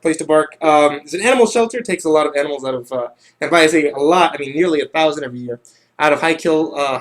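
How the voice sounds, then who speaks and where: A man hosting a livestream in casual chat, halting and often repeating his words. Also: on the high side. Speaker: livestream host.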